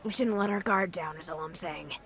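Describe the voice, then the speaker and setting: A woman playing a jaded, blunt wasteland wanderer in an audio drama, with a dry, sardonic delivery and gravelly voice. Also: on the low side, brisk, fairly bright tone. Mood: wary. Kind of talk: post-apocalyptic audio drama acting.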